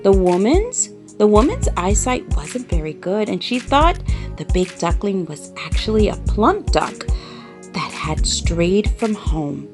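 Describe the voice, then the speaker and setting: A woman tells a children's story in a dramatic, emphatic read-aloud style. Her pitch moves widely and her pace is unhurried. Soft music plays behind the narration. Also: very animated.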